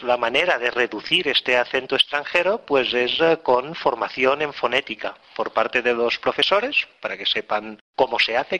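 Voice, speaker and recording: A man with a Catalan accent, a phonetics professor and native Catalan speaker, patiently explaining as a radio interview guest, often pausing with "pues" and "eh". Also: mid-range voice, bright, forceful tone. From Barcelona, Spain.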